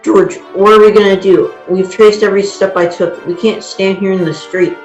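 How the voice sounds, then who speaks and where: A man's voice: high-pitched, steady tone, normal speed, soft background music, natural voice. Radio play role, male actor: scatterbrained old uncle, dithering, in a dull-sounding echoey recording. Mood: panicked. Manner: fretful, dithering. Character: flustered, absent-minded old uncle, cheeky then helpless. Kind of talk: old-time radio drama acting.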